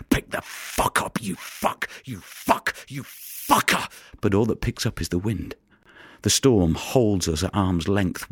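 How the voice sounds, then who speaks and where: An actor playing a haunted fisherman in an audio play, telling his story intensely and haltingly in a gravelly, breathy voice. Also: low voice, no echo, heavily acted. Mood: furious. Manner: intense, halting storytelling that drops toward whispers. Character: haunted fisherman recounting a rescue at sea. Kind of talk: dramatic monologue in an audio play.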